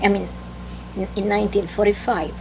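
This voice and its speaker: An elderly woman from Greece with a Greek accent, slowly recalling her life in an oral history interview, with halting restarts. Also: medium pitch, steady tone, vocal fry. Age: older. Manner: slow, halting recollection with restarts. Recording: noisy tape with hum.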